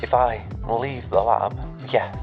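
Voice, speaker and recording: An actor playing an anxious, guilt-ridden male scientist in an audio drama, halting and subdued, heard through a radio filter. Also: mid-range voice, fairly even intonation, vocal fry, soft background music. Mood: regretful, weary. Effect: radio or intercom filter. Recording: tinny phone-like radio link.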